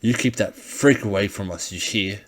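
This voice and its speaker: A man's voice: lowish voice, moderate pace, close to an everyday voice. A man voicing a menacing, foul-mouthed schoolboy gang leader who spits threats and orders, in an amateur audiobook reading. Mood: alarmed, angry. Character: menacing, foul-mouthed schoolboy bully ringleader, easily rattled. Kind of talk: amateur fan fiction audiobook character voice.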